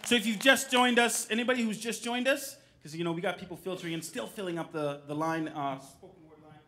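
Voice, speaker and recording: A man's voice: high-pitched, fast talker, pushed and bright. A man in his thirties hosting a poetry showcase live on stage, casual, slangy, playful and encouraging. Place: theatre stage before an audience. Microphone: microphone on a stand, filmed from the audience. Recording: noisy live hall recording with clicks.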